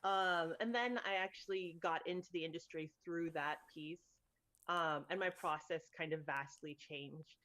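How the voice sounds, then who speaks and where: A woman from Thailand talks casually as a guest about her path into game design. Her voice sits a bit low and is recorded dry and close. She peppers her speech with "like" and laughs often. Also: unhurried, sharp tone, no echo. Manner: chatty, lots of "like" and laughs. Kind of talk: casual interview chat.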